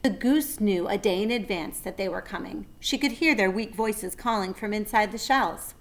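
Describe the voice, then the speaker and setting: A woman narrating a children's story with deliberate, expressive phrasing. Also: medium pitch. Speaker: read-aloud narrator.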